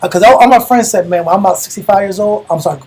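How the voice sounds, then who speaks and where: A man with an American accent and a husky voice, teaching religion in a colloquial, emphatic way, repeating phrases for emphasis. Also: sits high, very fast, darkish tone. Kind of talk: informal sermon discussion.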